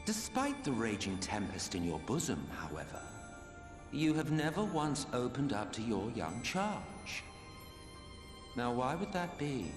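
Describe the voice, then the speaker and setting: A man's voice: mid-range voice, huge pitch swings, unhurried. A man playing an urbane, mocking immortal villain in a video game, sardonic and theatrical. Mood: sly, taunting. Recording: reverberant hall, clear music behind. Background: music.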